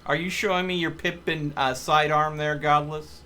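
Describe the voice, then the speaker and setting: A man's voice: a little high, flat delivery, normal speed, bright, forceful tone. A man hosting a multiplayer game stream with casual live commentary. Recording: faint background noise.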